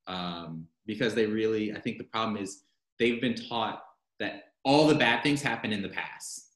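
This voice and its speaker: American man, guest on a chat show, bright voice, echoey room, laughs a lot. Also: fairly low pitch, moderate pace. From United States. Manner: laughs often, animated storytelling. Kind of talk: casual chat. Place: home in Berlin.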